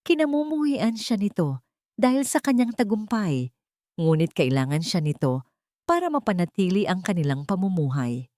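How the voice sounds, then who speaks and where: A woman's voice: mid-range voice, sing-song, moderate pace, mellow, no echo, studio quality. A woman reading scripted, dramatic fiction as a story narrator.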